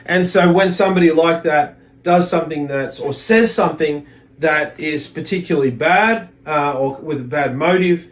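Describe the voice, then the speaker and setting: A man with a slightly high voice teaches a religious lesson. He moves between reading a text and explaining it in his own words. His explanations are halting, with words doubled back on and restated.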